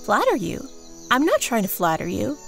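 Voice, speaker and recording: Plant gatherer, female actor: lightly high-pitched, polite, admiring, sincere, fantasy audio drama. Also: wildly swooping pitch, normal speed, gravelly, fairly bright tone, soft background music, heavily acted. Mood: earnest reassurance. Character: gentle, earnest female dye maker and plant gatherer.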